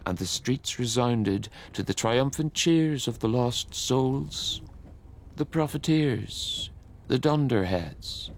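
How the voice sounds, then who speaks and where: A man giving a measured, declamatory reading of a long poem. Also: mid-range voice, expressive. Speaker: poetry reader. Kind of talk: dramatic poetry reading.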